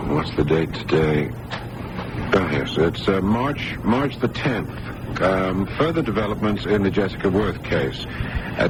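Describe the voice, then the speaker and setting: Caring doctor, lowish voice, measured and a bit halting, old radio drama with noisy sound. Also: male voice. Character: concerned, methodical male doctor.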